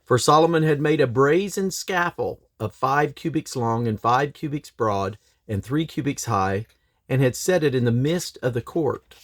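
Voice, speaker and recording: A man reads aloud from the King James Bible in a solemn, measured, declamatory way, phrase by phrase. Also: medium pitch, animated, dry recording, studio quality. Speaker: scripture reader and host.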